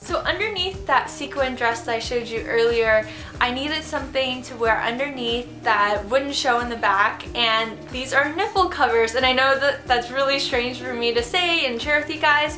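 A woman's voice: high voice, moderate pace. A young woman in her twenties with a cold and a congested voice, chatting bubbly and enthusiastic in a casual fashion haul vlog from her bedroom. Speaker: fashion vlogger. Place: bedroom, indoors. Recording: roomy echo with background music.

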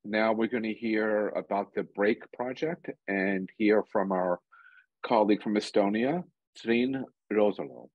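A man's voice: on the low side, measured pace, slightly rough, no echo, phone-quality sound. A man chairing an online conference panel, polite and brief as he keeps speakers on time. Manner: polite, brief, gently hurrying speakers along.

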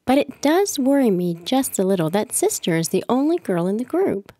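A woman voicing a gentle, sensible mother bear in a storybook, speaking in a measured, earnest way. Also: a little high, sing-song, no echo. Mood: worried. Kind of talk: storybook read-aloud character voice.

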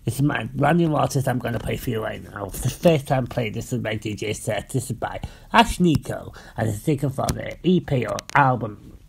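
A man giving informal radio-style DJ links between songs, talking in a rambling, repetitive and halting way. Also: medium pitch, lively intonation, vocal fry, a little hiss or hum. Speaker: amateur DJ presenting songs. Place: indoors at home.

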